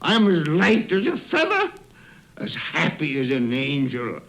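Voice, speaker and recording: An actor playing an elderly reformed miser giddy with joy, in exclamatory, theatrical radio drama style. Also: male voice, a little high, huge pitch swings, a little slow, a bit bright, heavily acted. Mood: giddy, elated. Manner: exclamatory, chuckling, swooping delivery.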